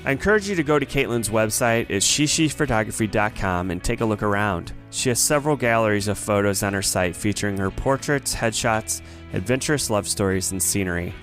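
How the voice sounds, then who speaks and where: Low-voiced male podcast host. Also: expressive, brisk, hardly any pauses, fairly bright tone, faint music behind. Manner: friendly, with frequent yeahs and hesitations. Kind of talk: casual interview, then scripted outro.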